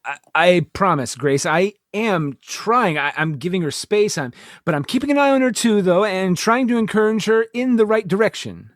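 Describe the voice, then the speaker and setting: A man's voice: high-pitched, animated, moderate pace, hardly any pauses, no room sound, studio quality. A man playing a witty, self-deprecating space station commander in an audio drama, with a wry, hesitant delivery. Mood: hesitant, earnest.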